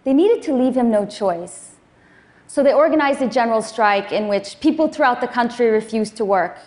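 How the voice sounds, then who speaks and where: Woman in her 30s giving a talk, kinda high voice, calm and earnest, steady pace. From Afghanistan. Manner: measured, earnest delivery. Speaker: speaker. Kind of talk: prepared public talk.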